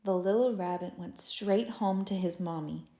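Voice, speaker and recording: A woman reading a children's story aloud in an expressive, deliberate storytelling style. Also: fairly low pitch. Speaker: storybook reader, teacher. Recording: roomy echo.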